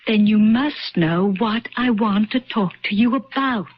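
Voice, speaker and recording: An actress in a radio drama playing an anxious, two-sided woman with a halting, emotional delivery. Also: medium pitch, slight background noise, close to an everyday voice. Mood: pleading, agitated. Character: two-sided woman, anxious young self and bitter old self. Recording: dull lo-fi radio drama recording.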